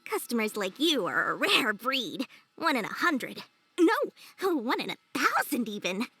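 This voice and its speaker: Wily merchant girl, high pitch, animated, gushing courtesy, greedy, theatrical, video game. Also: a little slow, slightly rough, no room sound, full character voice. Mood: gushing, wheedling. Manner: gushing, sing-song sales patter, overly courteous. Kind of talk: video game cutscene acting.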